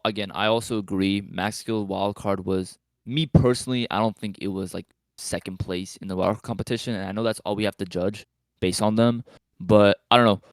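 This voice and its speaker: A man's voice: lowish voice, steady tone, a little fast, no echo. A man chatting casually as a panelist, tentative and rambling, often correcting himself mid-thought. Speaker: podcast panelist. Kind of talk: casual chat.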